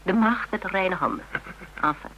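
A woman's voice: fairly low pitch, expressive, moderate pace, fairly bright tone, dull audio. An actress playing a spirited, teasing woman in a radio drama, telling things playfully and dramatically with self-mockery. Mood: self-mocking. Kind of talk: Dutch radio drama dialogue. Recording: hummy, noisy old radio recording.

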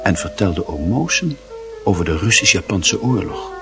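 A man narrating a radio play in a measured, expressive, literary storytelling style. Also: deep voice, music in the background.

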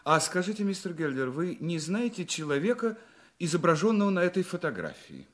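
Probing detective, audio play, polite, deliberate, confident, somewhat high pitch, very expressive intonation. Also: male voice. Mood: polite, inquisitive. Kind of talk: Russian audio play acting.

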